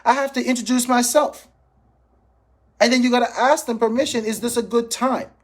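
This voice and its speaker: High-pitched man coaching with emphasis. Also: fairly even intonation, moderate pace. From Jamaica. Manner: emphatic, repeats key phrases, checks in with listeners. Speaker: financial professional coaching sales calls. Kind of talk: informal motivational training talk with role-played phone examples.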